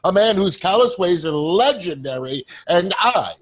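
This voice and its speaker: An actor in a staged play reading, playing a sardonic, exasperated ghost of a male friend who pushes but cares, with a husky voice. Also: high-pitched, expressive, unhurried, slight background noise. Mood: indignant.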